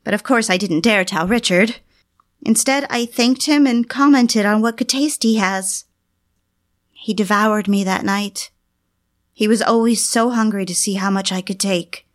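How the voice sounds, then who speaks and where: A woman's voice: mid-range voice, a little slow, close to an everyday voice. An actress playing an obsessive, lovelorn housewife, narrating her confessions in a brooding, intimate audio drama style. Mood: confiding, uneasy.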